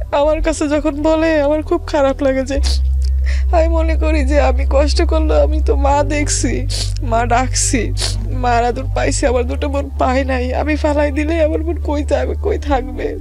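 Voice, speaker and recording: A woman with a colloquial regional Bangladeshi accent, telling her life story plaintively and haltingly, repeating phrases. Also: high-pitched, creaky, mellow, low-quality recording. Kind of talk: personal testimony in plain colloquial talk. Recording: faint music behind the voice.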